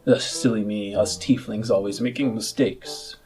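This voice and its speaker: A man's voice: fairly low pitch, fairly even intonation, normal speed, music in the background, close to an everyday voice. A man voicing a nervous, servile tiefling butler in a fantasy game, stammering apologetically with formal deference. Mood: flustered, apologetic.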